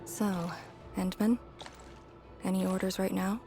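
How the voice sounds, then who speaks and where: A solemn young warrior woman in a video game. She speaks slowly and reflectively, often sinking into a breathy hush, with a wry edge. Loud music plays behind her. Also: medium pitch, level tone.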